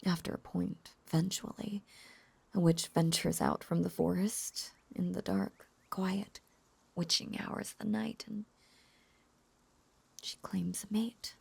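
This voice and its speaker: Woman acting a breathy, slow, lovesick witch. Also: on the low side, fairly even intonation, a little mellow, no room sound, exaggerated voice. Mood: lonely, yearning. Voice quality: breathy, whispery. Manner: intimate, halting, whispery and coaxing. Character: lovesick, possessive young female witch, lonely and clingy. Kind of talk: intimate audio roleplay acting.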